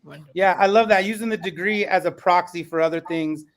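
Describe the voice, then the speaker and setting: A man's voice: high voice, fast talker. A man hosting a podcast panel as an educator, speaking in an earnest, emphatic way.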